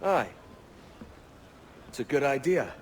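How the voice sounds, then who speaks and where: An actor playing a roguish young pirate in a video game, wry and breezy. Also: male voice, medium pitch, very animated, slow pace, a little hiss or hum. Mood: businesslike. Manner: breezy, dry, bantering. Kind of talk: video game cutscene acting.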